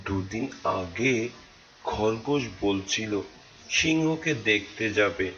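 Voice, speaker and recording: A man's voice: fairly low pitch, lively intonation, takes it slowly, bright, forceful tone, exaggerated voice. A man voicing a worried, trusting cartoon elephant who talks in an anxious, questioning way. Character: worried, trusting male elephant, friend of a rabbit. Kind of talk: cartoon voice acting. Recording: dull lo-fi sound with background noise.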